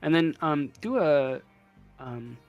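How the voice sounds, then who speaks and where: Slow, halting male game master. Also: on the high side, animated, muffled recording. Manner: halting, thinking aloud. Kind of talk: improvised tabletop game narration. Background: faint music.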